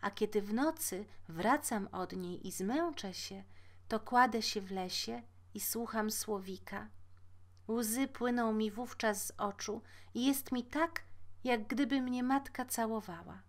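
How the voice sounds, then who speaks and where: A woman's voice: a little high, unhurried, natural voice. A woman voicing a poor, sweet, eager young kitchen girl in a fairy tale, speaking earnestly. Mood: wistful, devoted. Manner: earnest, exclaiming. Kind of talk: fairy-tale storybook character voice.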